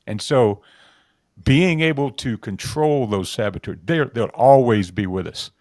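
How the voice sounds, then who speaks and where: Earnest male guest, mid-pitched voice, dry close sound. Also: unhurried, darkish tone, no room sound. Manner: earnest, storytelling, laughs easily. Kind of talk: talk show conversation.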